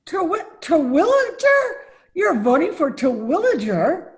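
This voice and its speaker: A woman's voice: extremely high pitch, very animated, a little slow, exaggerated voice. A woman playing an indignant, principled lady being courted in an old-time radio comedy, prim and scolding. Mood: incredulous.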